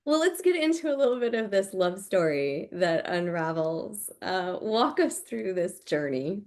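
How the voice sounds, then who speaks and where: A woman interviewing an actor, asking short, brisk prompting questions. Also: medium pitch, very animated, darkish tone.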